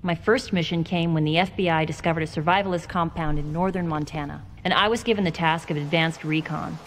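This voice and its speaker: A woman's voice: low-pitched, fairly even intonation, fairly quick, natural voice. A woman playing a self-assured young former federal agent giving testimony in a video game cutscene. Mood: measured, wry. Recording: noisy, reverberant hall, game ambience.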